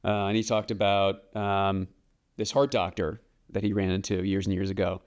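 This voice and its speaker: Smooth-voiced thoughtful man, late thirties. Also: low voice, level tone. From Washington DC area, US. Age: late 30s. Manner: measured, thoughtful, with frequent ums. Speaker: guest, real estate broker. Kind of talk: casual podcast interview.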